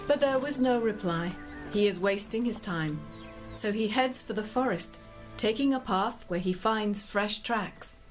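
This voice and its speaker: A woman narrating a scripted story in a measured way, addressing the listener directly. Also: medium pitch, soft background music.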